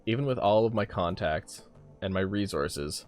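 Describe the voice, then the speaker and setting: A man's voice: low-pitched, animated, normal speed, darkish tone, soft background music. A man voicing a weary, stubborn middle-aged whiskey drinker from a video game, reading his bitter dialogue aloud. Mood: weary, wistful.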